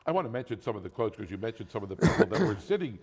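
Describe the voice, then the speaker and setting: A man's voice: fairly low pitch, sing-song, very fast, crowd noise. A man hosting an interview, asking questions in a conversational way with many fillers. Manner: conversational, lots of filler and affirmations. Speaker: talk show host.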